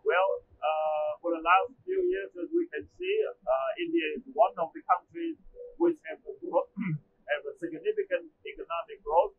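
A man's voice: a little high, big pitch swings, normal speed, faint music behind. A man, giving a testimonial at a trade show booth with hesitant, filler-heavy speech on a lapel mic. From Taiwan. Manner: hesitant, frequent "uh" fillers, non-native phrasing. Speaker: machinery company manager. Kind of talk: testimonial interview answers. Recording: phone-like narrow band, faint noise.

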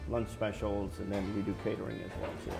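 Man from Guyana, middle-aged, low creaky voice, casual interview, music behind. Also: little pitch movement, fast talker. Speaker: bakery and cafe co-owner. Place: indoors in a restaurant dining room. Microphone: lapel.

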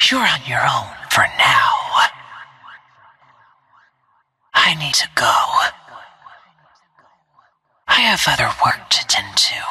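An actress playing a cold, ruthless female assassin in a video game, speaking in a breathy, menacing, self-assured way with clipped phrases. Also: rumbling low, bright, forceful tone, no room sound, heavily acted. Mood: brisk, businesslike. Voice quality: breathy, whispery. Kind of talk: video game character voice lines.

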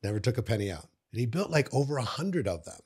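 An older man hosting a podcast interview in a studio, talking casually into a boom mic, often repeating words and trailing off quietly. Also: big pitch swings, fast talker, husky. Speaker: podcast host. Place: studio with bookshelves. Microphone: studio mic on boom arm.

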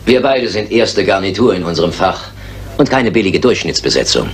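An actor playing a shrewd, composed detective in a German radio crime drama, speaking precisely and with authority. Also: male voice, deep voice, sing-song, moderate pace. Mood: cool, probing. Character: shrewd, composed male detective, coolly certain. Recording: older radio play with background noise.

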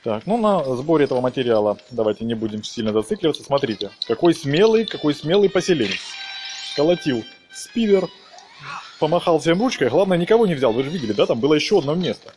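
A man's voice: fairly high pitch, big pitch swings, quick pace, darkish tone, soft background music. A man giving a casual, playful walkthrough commentary on a video game, chatting to the characters as he plays. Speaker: gaming video host.

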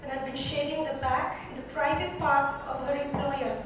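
High-pitched woman reading in an echoey room. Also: flat delivery, moderate pace. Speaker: book reader. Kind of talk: dramatic reading of literary fiction. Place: indoor club lounge. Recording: echoey room, distant mic, background noise.

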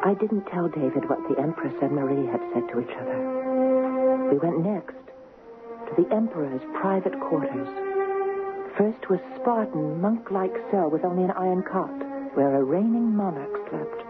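A woman's voice: lowish voice, expressive, measured pace. An actress playing an earnest, romantic young woman in a 1970s radio drama. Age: young adult. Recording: muffled phone-like 1970s radio, music behind.

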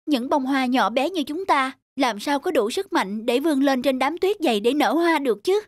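Gentle high-voiced flower mother. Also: lively intonation, normal speed, no room sound, studio quality. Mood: wistful, resigned. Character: gentle snowdrop flower mother, tender and resigned. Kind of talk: children's cartoon dubbing.

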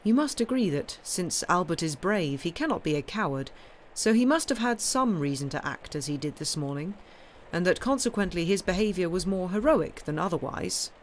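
An audiobook reader voicing a wealthy, courteous nobleman who speaks calmly and gently. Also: medium pitch, slight background noise, lightly acted. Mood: gently persuasive. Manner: calm, courteous, measured. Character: suave wealthy male count, gentle and commanding. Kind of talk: audiobook dialogue.

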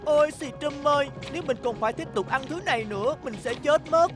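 A woman plays a tiny, whiny elf from a kids' cartoon. The voice is high and woeful, with faint music underneath. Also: quick pace, close to an everyday voice. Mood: despairing. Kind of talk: dubbed children's cartoon acting.

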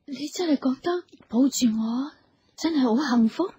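A woman's voice: sits high, normal speed, warm tone, close to an everyday voice. A woman acting a troubled, dreamy young wife in a Hong Kong Cantonese radio drama. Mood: hesitant, uneasy. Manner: hesitant, distracted. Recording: reverberant, music clearly behind.

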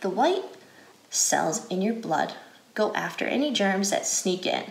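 An American woman reads aloud for children in a guided, teacherly style. Her pitch moves up and down in a lively way while she keeps a slow, deliberate pace. The recording has a roomy indoor echo. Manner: deliberate, clearly phrased, asks questions. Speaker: educator reading a picture book aloud.

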